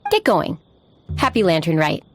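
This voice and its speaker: A woman's voice: fairly low pitch, wildly swooping pitch, normal speed, a little hiss or hum. An actress playing a courteous, composed female innkeeper who explains things graciously in a video game. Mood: hesitant, kindly. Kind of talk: video game character dialogue.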